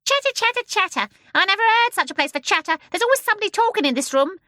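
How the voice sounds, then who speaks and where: A woman reading aloud and voicing a shrill, cheeky, greedy male starling who talks fast and pompously. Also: animated, sharp tone, no echo, clean studio sound, full character voice. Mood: scolding. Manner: rapid, pompous, wheedling.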